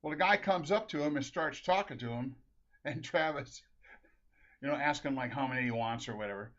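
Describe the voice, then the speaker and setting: A man's voice: medium pitch, fairly quick, fairly bright tone. A middle-aged man from Minnesota telling a rambling family anecdote, laughing often and restarting his sentences. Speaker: storyteller. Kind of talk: casual anecdote. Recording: dull lo-fi recording.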